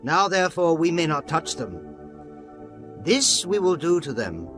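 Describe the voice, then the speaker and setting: Guy doing a high bright pleading voice, bouncy, bible drama. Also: big pitch swings, a little slow, over music. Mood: solemn. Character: pleading group of ancient men. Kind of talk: dramatized scripture reading.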